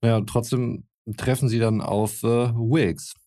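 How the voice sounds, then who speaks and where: A man's voice: mid-range voice, steady tone, slow pace, dark tone, no echo, studio quality. A man co-hosting a podcast, casually retelling a story with amusement. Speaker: podcast host recapping an audio drama.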